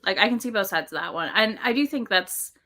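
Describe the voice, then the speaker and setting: A woman speaks in a bright, crisp-edged voice of average pitch. She is a chatty podcast panelist with a very casual style, often slipping into quoted reactions as she talks. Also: animated, fairly quick. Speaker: book discussion podcast panelist. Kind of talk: casual chat.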